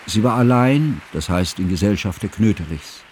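An actor playing a sad, elderly scholar who narrates in a formal, reflective, literary manner for a radio drama. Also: male voice, on the low side, expressive, normal speed, darkish tone, slight background noise, close to an everyday voice. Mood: measured. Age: older. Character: sad, elderly scholarly man recounting a friend's tragedy. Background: music.

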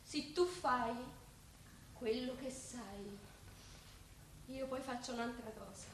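A woman's voice: fairly high pitch, slow pace. A woman acting in a Roman-dialect radio play as a devout, plain-spoken, stubborn working-class woman. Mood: pleading, solemn. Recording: echoey, distant, faint background noise.

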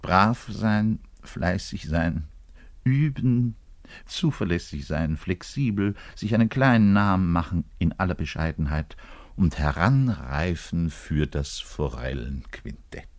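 An actor playing a neurotic, frustrated middle-aged double bassist who bares his self-loathing in a radio-play monologue. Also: expressive, slow pace, no echo. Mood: resigned. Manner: volatile, swinging between muttered confession and loud outbursts. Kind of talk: dramatic monologue radio play, confiding to the listener.

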